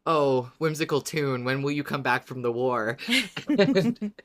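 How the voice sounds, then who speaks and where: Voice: medium pitch, animated, measured pace. An enthusiastic podcast host with a mid-range voice, talking in a casual fan discussion. The delivery is informal and conversational, with an easy readiness to laugh.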